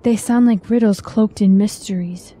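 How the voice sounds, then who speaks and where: A woman's voice: mid-range voice, fairly even intonation, moderate pace, dark tone, close to an everyday voice. An actress playing a reluctant, comfort-loving woman traveler in a fantasy radio drama, fretful and plaintive.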